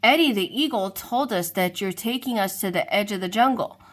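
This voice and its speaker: A woman's voice: medium pitch, moderate pace, a bit bright, close to an everyday voice. A woman voicing a helpful zebra from the herd in a children's storybook read-aloud.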